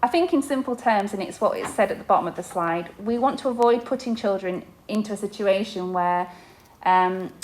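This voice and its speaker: A woman's voice: mid-range voice, a little fast, darkish tone, a little hiss or hum, rough recording. A young adult woman, an inclusion teacher, explaining to parents in a podcast-style talk, with frequent fillers and restarts.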